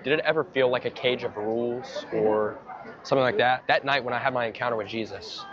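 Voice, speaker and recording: Fast-talking young American man, street preacher. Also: medium pitch. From Georgia, United States. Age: early twenties. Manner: earnest, informal. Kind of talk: casual street evangelism and spontaneous prayer. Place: outdoors on a crowded town street. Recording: muffled outdoor clip with busy crowd. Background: crowd chatter.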